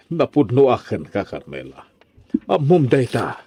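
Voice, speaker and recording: A man's voice: medium pitch, huge pitch swings, normal speed, over loud music. A man acting as a stern, reproachful husband in an Ilocano drama, with a Filipino Ilocano accent.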